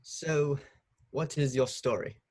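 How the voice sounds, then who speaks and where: An actor playing a pompous, dismissive government minister at a trial, impatient and scoffing in a table read. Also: male voice, medium pitch, takes it slowly, darkish tone. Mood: brusque. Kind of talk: amateur online table read of a screenplay.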